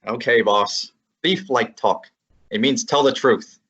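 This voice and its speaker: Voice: mid-range voice, slow, a bit bright, husky. A young actor voicing a wisecracking male assistant detective in an old-time radio drama, with a brash, slangy delivery. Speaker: student actor. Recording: slightly dull lo-fi sound.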